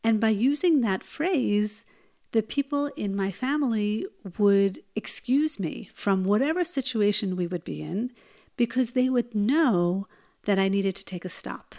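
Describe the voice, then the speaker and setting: A woman hosting her own podcast, speaking in a gentle, earnest way and telling personal stories. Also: mid-range voice, expressive, measured pace. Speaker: podcast host. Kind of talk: solo podcast talk.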